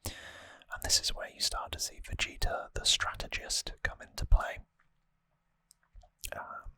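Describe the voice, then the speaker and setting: An ASMR host speaks in a breathy, unvoiced whisper with a bright, crisp edge. The delivery is soft and informal, like explaining a recap, recorded close to the mic with no room echo. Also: unhurried. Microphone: close microphone, dry sound.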